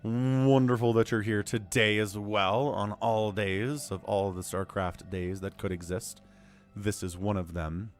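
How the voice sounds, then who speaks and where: A man calling a live strategy game match solo, his voice a little hoarse and stuffy from a cold, swinging from calm analysis to loud exclamations. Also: fairly low pitch, unhurried, faint music behind. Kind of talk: live esports play-by-play commentary.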